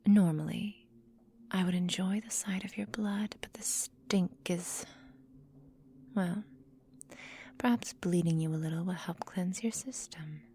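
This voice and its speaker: A woman voicing a menacing, possessive vampire mistress in a whispered ASMR roleplay, calm and deliberate, breathy, close to the microphone. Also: on the low side, slight background noise. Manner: slow, breathy, deliberate, coolly domineering.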